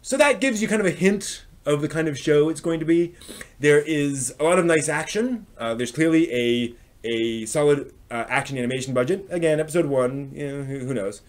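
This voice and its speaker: A man hosting a live stream, giving casual unscripted review commentary in a halting, discursive way, often circling back to rephrase. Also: a little high. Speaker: host of an anime news and review live stream.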